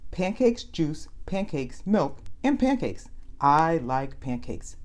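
A woman reading a children's story aloud as a storyteller, with expressive, deliberate phrasing and drawn-out emphasis. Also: low voice. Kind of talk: read-aloud story narration.

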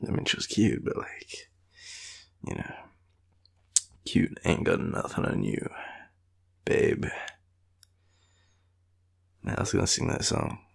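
A man's voice: low voice, sing-song, slow, gravelly, pauses often, slightly rough. A tired man with an Australian accent, murmuring and rambling drowsily as a clingy, teasing boyfriend in an intimate roleplay, often half-whispering. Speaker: audio roleplay performer playing a boyfriend.

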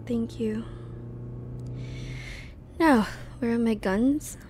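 A woman's voice: fairly high pitch, extremely slow, music in the background. A woman playing a short-tempered, resolute young secret agent out for justice in an audio drama. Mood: determined.

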